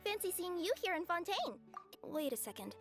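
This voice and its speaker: A woman's voice: extremely high pitch, big pitch swings, normal speed, over music, heavily acted. A woman voicing a young cat yokai courier girl, eager, sheepish and easily flustered, in an anime game. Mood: friendly, sheepish. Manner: hesitant, rambling, breezily apologetic.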